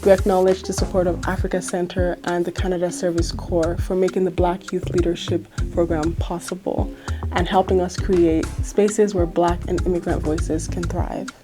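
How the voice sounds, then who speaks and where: A woman's voice: fairly low pitch, little pitch movement, moderate pace, over music. Upbeat young woman podcast host. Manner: upbeat, encouraging, affirming. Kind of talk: podcast interview.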